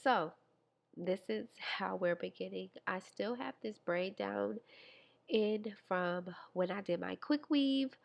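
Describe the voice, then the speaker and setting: A woman's voice: medium pitch, takes it slowly, vocal fry. A young woman with a stuffy, congested nose, chatting casually to viewers while walking through a hair tutorial. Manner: chatty, friendly, talks to viewers. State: congested, nasal. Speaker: beauty vlogger.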